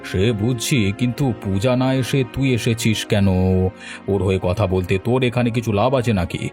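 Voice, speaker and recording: A man's voice: lowish voice, moderate pace, darkish tone, soft background music, close to an everyday voice. A man voicing a kindly, inquisitive village headman in a cartoon, questioning with a mildly teasing manner. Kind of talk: cartoon voice acting.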